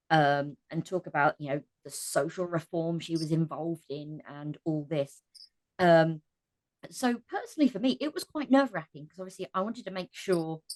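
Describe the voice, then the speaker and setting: Female podcast guest, voice actor, lowish pitch, reflective and conversational, dry acoustic. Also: no room sound. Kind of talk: reflective interview answers, casual.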